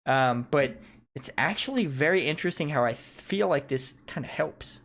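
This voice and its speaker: A man, a native English speaker, giving casual, analytical reaction commentary on music and thinking aloud in a halting way. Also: a little high, animated, a bit bright. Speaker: music reaction video host.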